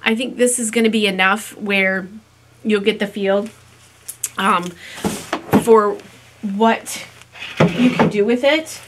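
A woman in her fifties, raspy from a coming cold, chatting to camera about a craft and thinking aloud with many ums. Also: mid-range voice, takes it slowly, long pauses, noisy background. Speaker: fiber-craft vlogger. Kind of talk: casual chat to camera. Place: small room in a home, indoors. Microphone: camera in front at desk distance.

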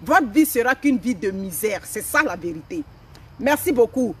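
A woman's voice: fairly high pitch, animated, normal speed, a little hiss or hum. A middle-aged woman from Africa, hosting a motivational live stream outdoors in a forest, speaking emphatically and repeating her phrases. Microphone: phone camera at a few steps.

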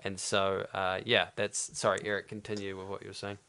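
A man's voice: sits low, completely flat delivery, a little fast, creaky, pushed and bright, clean tone. A man co-hosting a casual podcast who rambles and corrects himself, and often murmurs agreement while the other host talks. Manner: rambling, self-correcting, frequent listener murmurs. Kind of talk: casual conversation.

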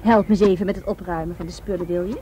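A woman playing a frank, anxious female companion in a radio drama, with an expressive delivery. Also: lowish voice, racing, close to an everyday voice. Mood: quiet, matter-of-fact. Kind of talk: Dutch radio drama dialogue. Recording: radio drama with boat noise.

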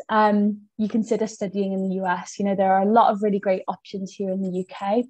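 A young British woman hosting an education webinar over a video call. Her tone is mellow and friendly, upbeat, with plenty of "um"s and "kind of"s. The sound is dry and close. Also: medium pitch, no echo. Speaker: webinar host and education advisor. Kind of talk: presenting a webinar.

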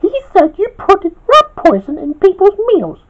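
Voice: extremely high pitch, huge pitch swings, a little slow, fairly bright tone, voice pushed up, heavily acted. An amateur storyteller voicing a stern, bossy female restaurant boss who scolds in exasperation. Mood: angry scolding. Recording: dull, lo-fi home recording.

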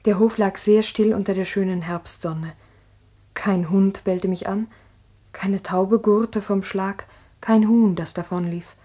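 An earnest, slightly husky village schoolmistress. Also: female voice, medium pitch, fairly even intonation, takes it slowly, warm tone. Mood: reflective. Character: earnest, strict village schoolteacher, a single woman. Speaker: actor and first-person narrator. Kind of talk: 1960s German radio drama acting and narration.

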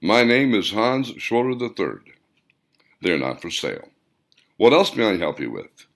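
A man voicing a cool, controlled male auction dealer in an audiobook, calm and firm. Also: fairly low pitch, unhurried, gravelly, exaggerated voice.

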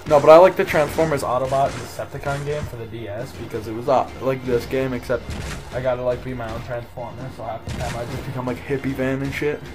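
A man's voice: medium pitch, steady tone, quick pace. A young man giving casual, joking commentary on a video game. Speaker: let's play commentator. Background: loud game music.

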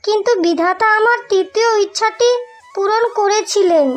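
A woman's voice: very high voice, moderate pace. A woman playing a devoted, forgiving young wife in a melodramatic cartoon, speaking tenderly and plaintively. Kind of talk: slow melodramatic cartoon dialogue. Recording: music under the voice.

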